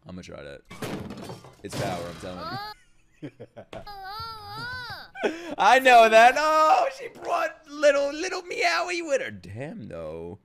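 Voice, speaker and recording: A young man reacting to an anime from his home streaming setup, his voice leaping up and down as he gets worked up. He swears freely and bursts into shouts and laughter. He sits close to a desk mic on a boom arm. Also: huge pitch swings, takes it slowly, long pauses, noisy background. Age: young adult. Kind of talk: casual reaction commentary. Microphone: boom-mounted desk mic, wearing headphones.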